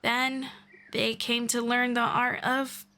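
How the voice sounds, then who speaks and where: A woman voicing a patient, gently formal female elf friend in an ASMR roleplay, speaking softly and politely. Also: fairly high pitch, flat delivery. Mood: calm, patient. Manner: soft, unhurried, politely formal.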